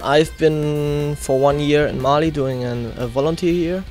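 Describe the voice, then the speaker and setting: Young german guy, very slow halting answer, guitar music under it. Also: a little high, steady tone, darkish tone. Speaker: conference participant.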